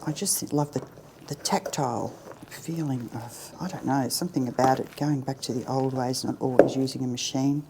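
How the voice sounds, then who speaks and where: A middle-aged woman chatting her way through a baking tutorial in her home kitchen, self-deprecating and often dropping to a soft near-whisper. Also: extremely low pitch, fairly even intonation, moderate pace, noisy background. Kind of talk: casual cooking demonstration.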